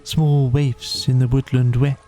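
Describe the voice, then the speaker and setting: A man's voice: mid-range voice, little pitch movement, unhurried, mellow, faint music behind, lightly acted. A man voicing a dreamy, languid, poetic male water rat in a storybook, with a murmuring, rapt manner. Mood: hushed, entranced.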